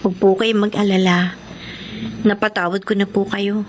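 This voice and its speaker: An actress in a Filipino radio drama playing an earnest, pleading kitchen helper who speaks plainly. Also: female voice, mid-range voice, level tone, moderate pace, slight background noise, close to an everyday voice. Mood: gentle, sad. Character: aggrieved, plain-spoken female kitchen helper, deferential and forgiving.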